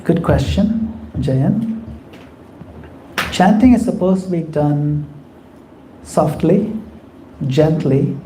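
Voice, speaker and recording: A man leading a devotional class and answering questions in an earnest, kindly advising manner. His voice sits a little high and sounds mellow. There is a faint hiss behind him. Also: animated, extremely slow, pauses often, rough recording. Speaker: class leader taking questions. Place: community temple class.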